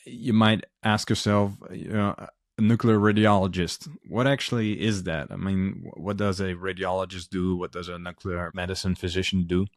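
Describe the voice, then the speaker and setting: A man's voice: low-pitched, steady tone, moderate pace, no room sound, clean studio sound. A man with a Dutch accent hosting his own podcast, talking earnestly and steadily with frequent ums and uhs. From Netherlands. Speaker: podcast host, physician. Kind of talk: solo podcast monologue.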